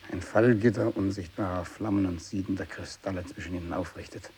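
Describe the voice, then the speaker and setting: Tormented, gravelly renegade missionary. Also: male voice, low-pitched, low-quality recording. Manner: brooding, intense, swinging from hushed whispers to anguished cries. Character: tormented fanatical renegade missionary man, bitter, feverishly unravelling. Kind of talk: literary radio drama monologue. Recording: steady tape hiss.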